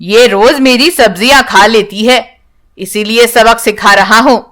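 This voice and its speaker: A woman's voice: on the high side, measured pace, a bit bright. A woman voicing a scornful male vegetable seller in a storybook tale, with a curt, irritated manner.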